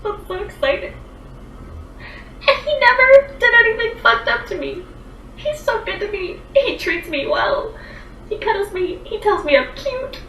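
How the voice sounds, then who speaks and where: A woman's voice: very high voice, lively intonation, measured pace, a bit bright, roomy sound, recorded from a distance, a little hiss or hum, rough recording. A woman in her twenties chatting casually on a livestream, rambling with lots of fillers as she answers viewer comments. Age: 20s.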